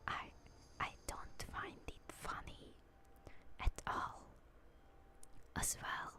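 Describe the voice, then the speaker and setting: Slow whispering young woman. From Hungary. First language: Hungarian. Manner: whispered, soft and slow, chatting with viewers. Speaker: VTuber streamer. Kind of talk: ASMR stream chat. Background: music.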